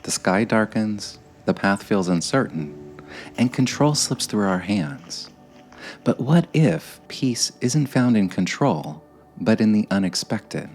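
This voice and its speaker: Very slow, low-voiced man guiding meditation. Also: lively intonation, faint music behind. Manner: slow, gentle, measured delivery with frequent pauses. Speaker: meditation guide. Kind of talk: guided meditation narration, scripted.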